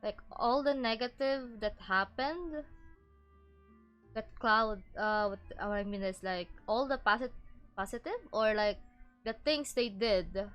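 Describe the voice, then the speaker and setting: A woman's voice: medium pitch, fairly bright tone. A woman streamer giving casual, informal playthrough commentary, musing aloud and trailing off as she goes. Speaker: game streamer. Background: game music.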